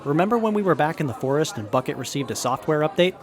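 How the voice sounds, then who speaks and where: An earnest young tinkerer voiced by a man explains things eagerly. His voice sits fairly high and moves around a lot in pitch. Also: expressive, fast, lightly acted. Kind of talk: radio drama acting.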